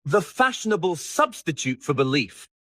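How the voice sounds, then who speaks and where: A man's voice: a little high, very animated, moderate pace, fairly bright tone, no echo, voice pushed up. A man voicing a witty, languid English aristocrat in an audiobook, teasing and aphoristic in a theatrical way. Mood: dry wit. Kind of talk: audiobook character dialogue.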